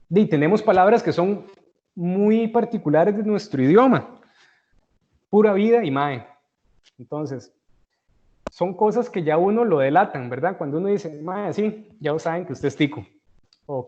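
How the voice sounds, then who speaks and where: A Costa Rican man explains a lesson to his students in a slightly high voice, working through everyday examples and often pausing to check that they follow. The sound is dull and lo-fi, as from an online call. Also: animated, dark tone. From Costa Rica. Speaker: teacher.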